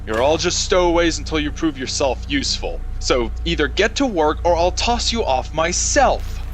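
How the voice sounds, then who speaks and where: A man plays a grizzled, barrel-chested engineer in an audio drama, gruff and intimidating. His pitch swings in an animated way as he bluntly bosses people about, with light music underneath. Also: moderate pace, close to an everyday voice. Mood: threatening. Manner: blunt, profane, demanding. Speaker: head engineer of a ship. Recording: music behind the voice.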